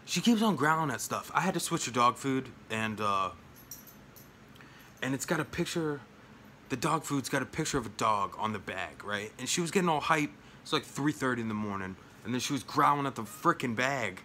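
A man in his thirties chatting casually at home about his film collection, rambling with lots of fillers and jokes, his voice going hoarse. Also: medium pitch, animated, moderate pace, sharp tone, slight background noise. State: losing his voice. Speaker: collection vlogger. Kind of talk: casual home video chat. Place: small room at home, indoors. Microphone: phone or webcam at arm's length.

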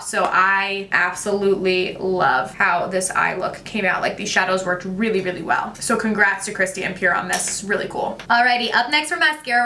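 A young woman, talking through a makeup tutorial in a chatty, gushing way, her voice a little raspy today. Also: mid-range voice, bright, forceful tone, echoey room, distant mic. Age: young adult. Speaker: beauty vlogger. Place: bright home room with makeup shelves. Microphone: camera at close framing.

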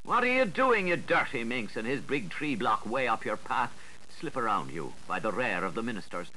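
A man reading an audiobook, voicing a bossy street official who scolds and orders people along in sharp exclamations. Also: mid-range voice, big pitch swings, normal speed, rough recording. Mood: scolding, bossy. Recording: 1985 audiobook recording with audible background noise.

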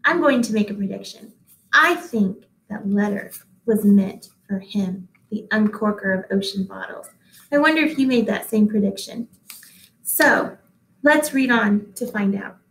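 A woman in her thirties reading a picture book aloud to children, deliberate and expressive, pausing to address listeners at home. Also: medium pitch, gravelly. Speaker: read-aloud host, teacher. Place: small indoor office-like room. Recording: echoey small room.

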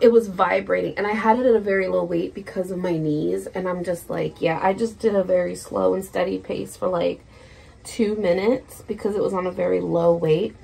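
A woman's voice: medium pitch, vocal fry, a little mellow, slight background noise, rough recording. A woman in her thirties chatting casually in a rambling, sweary personal vlog recorded in a room at home. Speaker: vlogger. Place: small indoor room at home.

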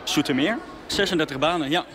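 Sector consultant, fairly high voice, halting with fillers, noisy on-location recording. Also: male voice. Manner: hesitant, many filler sounds. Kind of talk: radio interview answers. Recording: on-location radio report, background noise.

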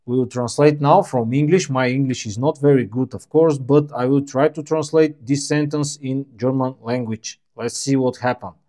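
A man whose first language is Bulgarian talks through a phone's settings at an easy pace. His voice is warm and low in brightness, his phrasing plain and a little halting, like a casual tech walkthrough. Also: fairly even intonation. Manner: unhurried, plainspoken, slightly halting non-native phrasing. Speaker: tech reviewer.

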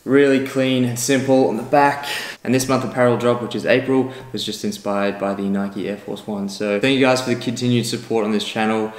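A young man vlogging casually in a relaxed, chatty, self-mocking way, filmed on a camera mic under a house deck and indoors. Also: mid-range voice, fairly quick, hardly any pauses. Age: young adult. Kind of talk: casual vlog chat. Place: outdoor area under a house deck, then indoor room.

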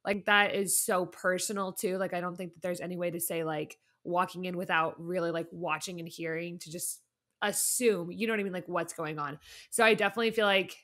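Bright-voiced woman chatting casually. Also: on the low side, steady tone, brisk. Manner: informal, peppered with "like" and "you know". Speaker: podcast panelist, teacher. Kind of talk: casual chat.

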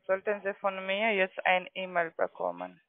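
A man's voice: high voice, flat delivery, moderate pace, no room sound. A non-native speaking man working as a call centre agent, making a sales call in ungrammatical phrasing. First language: not German. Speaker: call centre agent selling trading accounts. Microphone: phone call. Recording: narrow muffled telephone line.

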